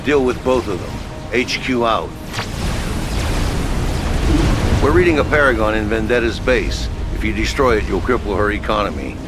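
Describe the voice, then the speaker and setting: A man's voice: on the low side, animated, brisk, loud music behind the voice, lightly acted. A man playing a wry, matter-of-fact headquarters officer giving colloquial military mission briefings in a video game. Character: brisk, wry male headquarters operations officer.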